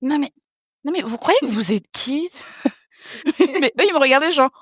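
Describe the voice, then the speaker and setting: Lively woman telling stories with laughter. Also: high voice, measured pace, no room sound, clean studio sound. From French Guiana. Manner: lively, laughing, acts out other people's remarks. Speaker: podcast guest. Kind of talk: casual interview storytelling.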